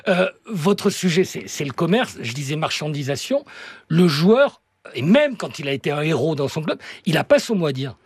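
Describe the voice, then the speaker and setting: Higher-pitched husky male interviewer. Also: sing-song, normal speed, dry recording. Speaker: interviewer, book show host. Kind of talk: conversational literary interview.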